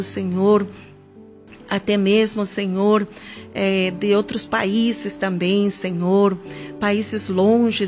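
A woman with a Brazilian accent leading a fervent, improvised evangelical prayer, with repeated invocations and drawn-out emphatic words. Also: mid-range voice, a little mellow. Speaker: church prayer leader. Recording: music playing behind the voice. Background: background music.

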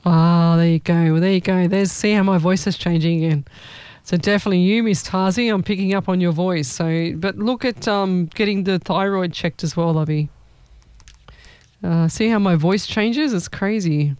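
A woman's voice: lowish voice, normal speed, a little mellow, smooth, dry recording. A woman hosting a casual live chat as a psychic medium, talking in an informal, exclaiming way.

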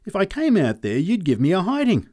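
Man putting on a high, nervous farmer. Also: huge pitch swings, brisk, mellow, heavily acted. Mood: pleading. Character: wary adult male dairy farmer, cautious and pleading.

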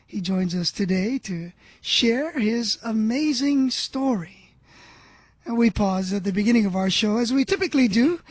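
A man's voice: very high-pitched, breathy edge. A man hosting a religious radio program, making evangelistic appeals and addressing the listener as his friend. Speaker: radio host.

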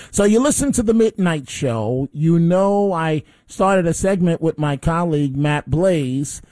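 A man's voice: on the high side, mellow, dry recording. A man hosting a talk radio show, rambling and combative, speaking on a studio microphone.

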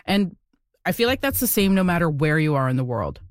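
A woman's voice: lowish voice, sing-song, fairly quick, over loud music. A woman hosting a podcast, narrating and then talking casually with fillers and restarts. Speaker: podcast host.

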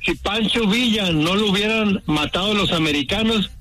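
A man's voice: high-pitched, normal speed, music in the background, rough recording. A man giving blunt, insistent opinions as a caller on a radio show. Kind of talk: casual opinionated radio talk.

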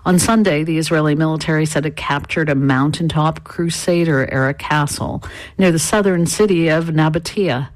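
A woman's voice: low-pitched, moderate pace, dry recording. Woman correspondent with a dry voice. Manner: steady, factual report delivery. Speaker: foreign correspondent. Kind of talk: scripted news report.

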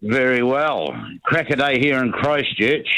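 A man with a New Zealand accent, a regular caller chatting casually on a phone-in, halting and dryly blunt. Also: medium pitch, bright, forceful tone, no echo, poor audio.

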